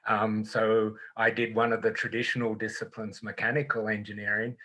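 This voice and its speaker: Older man, Australian accent, lowish voice, measured and thoughtful, video call. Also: completely flat delivery, sharp tone. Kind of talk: panel discussion on video call. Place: small home room.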